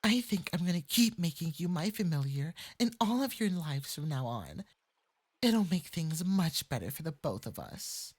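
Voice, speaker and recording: A performer with a light, breathy voice playing a clingy, lovestruck fox-spirit femboy mage in an intimate ASMR roleplay, coaxing sweetly and possessively. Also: medium pitch, moderate pace. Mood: possessive, calm. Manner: sweetly coaxing, possessive, swinging into eager excitement.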